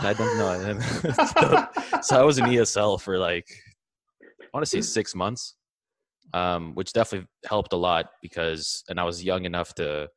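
A young man from Greece, raised in Egypt, recalling his childhood in a relaxed interview, with frequent fillers and restarts. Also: deep voice, dry recording. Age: young adult. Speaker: interview guest. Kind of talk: casual conversational interview.

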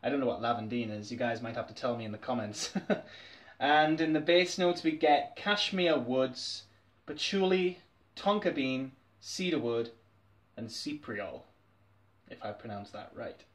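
A man's voice: medium pitch, unhurried. A young man, chatting candidly and laughing often as he reviews a fragrance in a small bedroom. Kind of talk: casual review vlog. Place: small dim bedroom, indoors.

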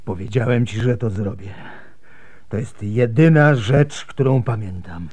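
A man's voice: mid-range voice, a little slow, a little mellow, natural voice. An actor playing an earnest, pious, determined middle-aged man marked by grief, in a radio drama. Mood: intense, urgent.

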